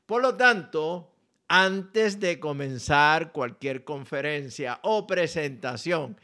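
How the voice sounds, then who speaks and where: A man with a Puerto Rican accent, a pastor and ministry director delivering a scripted message slowly and deliberately. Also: a little high, lively intonation, bright, forceful tone. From Puerto Rico. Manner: slow, deliberate, spells out contact details carefully. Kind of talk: scripted radio-style message.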